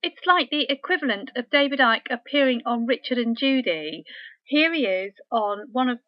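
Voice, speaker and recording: A woman's voice: fairly high pitch, animated, normal speed, no room sound, dull audio. A woman giving a casual, wry commentary while walking through web pages on her screen, with frequent ums. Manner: chatty, wry, with filler ums. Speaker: researcher presenting a screen tour.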